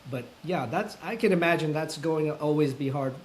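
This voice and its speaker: A man in his fifties with a voice pitched a little above most men's, talking in a relaxed interview. He speaks thoughtfully, often pausing on fillers and restarting sentences, with the careful manner of a self-described introvert. A faint hiss sits under his voice. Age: 50s. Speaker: guest, full-time content creator. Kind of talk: casual interview conversation.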